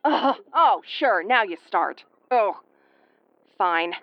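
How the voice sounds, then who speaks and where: A woman's voice: mid-range voice, lively intonation, very slow, highs cut off. A woman playing an injured, sarcastic starship first officer in an audio roleplay, with a wry, exasperated tomboyish delivery. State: woozy and battered. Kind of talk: audio roleplay acting, recorded log monologue.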